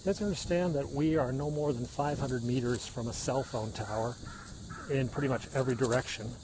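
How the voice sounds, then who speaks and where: A middle-aged man with a Midwestern American accent talks to his viewers as he walks a hillside trail. He sounds like a chatty tour guide pointing out the sights. His phone picks up loud cicadas and insects buzzing around him. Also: mid-range voice, fairly even intonation, fairly quick, noisy background. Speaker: live-streaming vlogger. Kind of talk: casual walking livestream chat. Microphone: phone held while walking.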